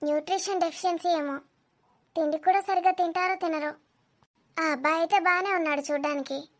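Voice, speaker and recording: A woman's voice: very high-pitched, steady tone, a little fast. A woman voicing a haughty, well-off middle-aged mother-in-law in a cartoon comedy, snobbish, fussy and easily indignant. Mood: disdainful musing.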